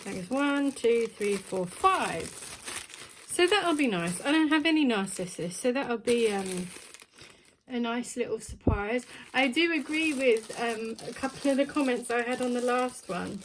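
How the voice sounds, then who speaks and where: A woman's voice: a little high, expressive, unhurried, slight background noise, rough recording. A woman chatting casually while unboxing a plant subscription box, thinking aloud with plenty of ums. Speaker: plant vlogger.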